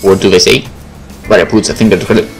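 A man's voice: low voice, steady tone, fast, gravelly. A man doing a let's play, reading visual novel text aloud and adding casual comments. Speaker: gaming video creator. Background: game music.

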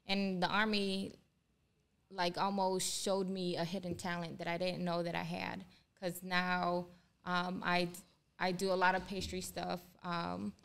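A young woman from Ohio, raised speaking Spanish, talks about her work as an army culinary instructor in a podcast studio, pausing often with "um". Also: on the low side, creaky, fairly bright tone, clear, resonant tone. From Ohio, USA. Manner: hesitant, many "um"s. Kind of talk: casual interview. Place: small studio room. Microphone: desk mic on boom, headphones.

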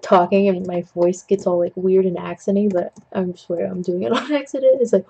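Young husky voice, rambling art-stream chatter. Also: medium pitch, fairly even intonation, brisk, mellow, highs cut off. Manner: chatty, self-deprecating, jokey with puns; coughs now and then. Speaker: digital art tutorial host. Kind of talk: rambling casual commentary while painting. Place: indoors at a home desk.

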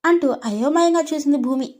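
A woman's voice: high-pitched, brisk, darkish tone. A woman storyteller reading a scripted domestic story as narrator.

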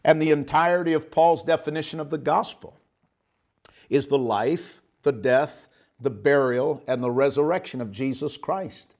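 Emphatic preacher man, fairly high voice. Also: a little mellow. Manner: emphatic, gestures broadly, drawn-out stressed phrases. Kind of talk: televised Bible teaching. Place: indoor studio set with guitar on wall. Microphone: lapel mic, seated at desk facing camera.

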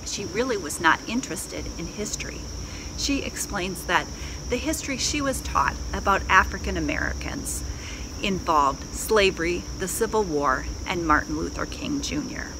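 A woman's voice: on the low side, animated, moderate pace. Middle-aged woman preaching over outdoor noise. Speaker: pastor. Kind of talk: sermon. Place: outdoors in a leafy garden. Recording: outdoor noise with insects and distant traffic.

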